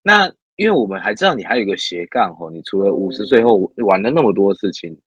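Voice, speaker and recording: A man hosting an interview with a low, slightly husky voice that moves up and down freely. He asks friendly questions and hums along often. Faint music sits in the background. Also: fast, no room sound, highs cut off. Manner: lively, many back-channel hums, friendly questions. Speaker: host. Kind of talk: casual interview chat.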